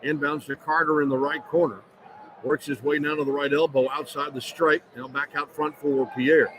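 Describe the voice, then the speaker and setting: A man's voice: a little high, flat delivery, normal speed. A man doing live play-by-play radio commentary of basketball, describing the action in clipped, running phrases. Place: indoor sports arena, courtside. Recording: loud arena noise behind. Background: loud ambient arena noise.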